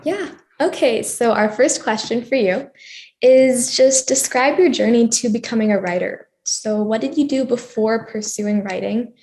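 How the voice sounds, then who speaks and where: Teen female moderator, American. Fairly high voice, delivering prepared interview questions. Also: a little slow, darkish tone. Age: teens, high school sophomore.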